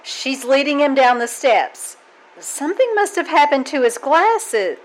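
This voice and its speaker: A woman's voice: high voice, a little slow, rough recording. Woman acting a wry, lilting schoolteacher. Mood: dry.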